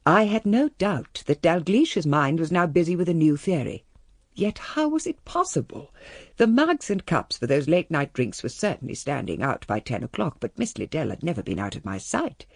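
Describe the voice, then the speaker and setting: An actress playing a reserved lady of the house with an upper-class English accent, measured and politely guarded in a radio drama. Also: low voice, big pitch swings, no room sound, studio quality, heavily acted. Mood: reflective. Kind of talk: radio drama, first-person narration and dialogue.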